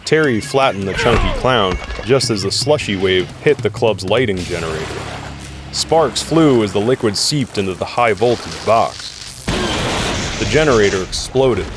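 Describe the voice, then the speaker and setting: A man's voice: fairly low pitch, lively intonation, normal speed, over music. A man reading dramatic scripted narration for an action audio drama.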